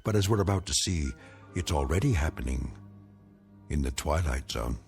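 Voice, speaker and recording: An actor as the grave, knowing narrator of an eerie radio drama, speaking in a measured, portentous and ironic way. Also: male voice, extremely low pitch, lively intonation, gravelly, darkish tone, faint music behind, exaggerated voice.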